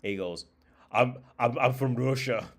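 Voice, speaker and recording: A man's voice: medium pitch, a little slow, slightly rough. A man hosting a livestream, talking in a casual, rambling monologue with informal, profane phrasing and frequent repeated, restarted phrases. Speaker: livestream host.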